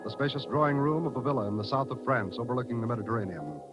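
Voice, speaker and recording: An actor working as a formal, dramatic announcer for a 1940s radio thriller. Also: male voice, mid-range voice, rapid-fire, natural voice. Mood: hushed, suspenseful. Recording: dull old radio recording, loud music.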